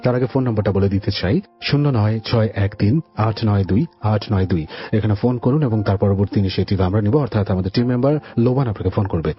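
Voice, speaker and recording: A man's voice: lowish voice, quick pace. A man with a Bangladeshi accent hosting a radio show, presenting to listeners and questioning his guest. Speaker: radio host. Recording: muffled radio audio with music behind. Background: background music.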